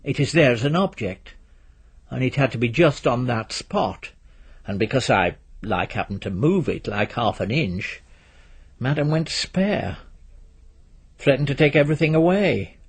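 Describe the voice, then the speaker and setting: Man doing a offhand young bloke from an audiobook, casual slangy talk, bit husky. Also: mid-range voice, animated, unhurried, long pauses. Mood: aggrieved, offhand. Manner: casual, slangy, hesitant fillers. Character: laid-back, slangy young man, recently dumped, offhand.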